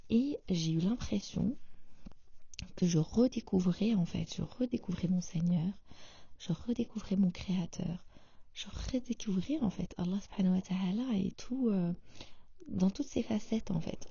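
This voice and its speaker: Woman podcast host, dark husky voice, soft hesitant confiding delivery, dry dull recording. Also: fairly low pitch, dry recording. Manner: soft, half-whispered and hesitant, with many fillers. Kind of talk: intimate personal monologue.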